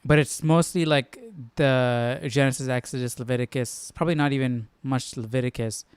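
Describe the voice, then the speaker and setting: A man's voice: medium pitch, steady tone, normal speed, clear, resonant tone, no echo. Man chatting casually, clean dry sound. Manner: informal, thinking aloud, checks with "right?". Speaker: Bible study livestream host. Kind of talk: casual chat, informal Bible commentary.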